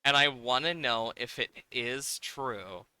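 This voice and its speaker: A man's voice: mid-range voice, slow pace, pushed and bright, clear, resonant tone, dry recording. A man livestreaming a game, talking casually and playfully as he thinks aloud in a rambling way. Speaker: livestreamer, host. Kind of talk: casual live game-stream chat, reacting to game and viewers.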